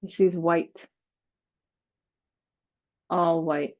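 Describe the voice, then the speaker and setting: A woman leading a guided meditation in a slow, soothing manner, with long pauses between phrases. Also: on the low side, fairly even intonation, a little mellow, dull audio.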